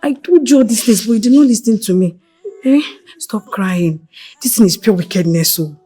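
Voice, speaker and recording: A woman with a husky voice and a Nigerian accent, playing an outspoken, loyal attendant to a princess in a screen drama. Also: medium pitch, lively intonation, normal speed, warm tone. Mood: reproachful. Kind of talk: emotive screen drama acting.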